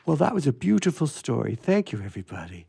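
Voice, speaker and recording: Gravelly, rambling male radio host. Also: medium pitch, sing-song, fast talker, dark tone. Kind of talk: informal radio show hosting.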